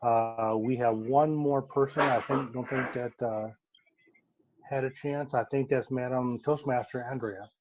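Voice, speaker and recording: A man leading a club meeting session in a friendly, halting way, with many fillers and repeats. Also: medium pitch, steady tone, darkish tone, a little hiss or hum, low-quality recording.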